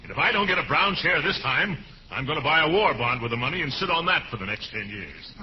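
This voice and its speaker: A man's voice: a little high, expressive, fast, close to an everyday voice. A man playing a pompous, exasperated man of the house, blustering through a 1940s radio comedy. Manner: blustering, theatrical indignation. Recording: dull, noisy old-time radio recording.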